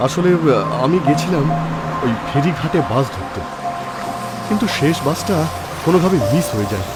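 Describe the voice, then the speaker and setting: A man acts out an earnest doctor in a cartoon ghost tale. His voice sits a little high and moves around a lot, hesitant and shaken. Loud music plays behind him. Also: sing-song, normal speed. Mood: shaken, explaining. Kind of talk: cartoon voice acting.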